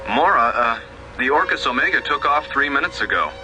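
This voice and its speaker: Dutiful crewman, slightly high voice. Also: a little fast, creaky, low-quality recording. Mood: concerned, reporting. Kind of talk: radio drama acting. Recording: music and hum behind.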